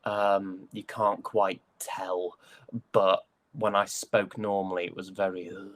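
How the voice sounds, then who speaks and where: A man from the UK with a low voice talks in a reflective interview style, as an actor discussing his craft. He is thoughtful and halting, often pausing to find the next phrase. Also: level tone, fairly bright tone.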